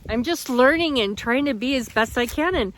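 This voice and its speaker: A woman's voice: high voice, lively intonation, normal speed, slight background noise. A woman vlogging outdoors on a mountain trail, narrating her hike in an upbeat, chatty way into a handheld camera. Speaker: thru-hiker vlogger. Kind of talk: casual vlog narration.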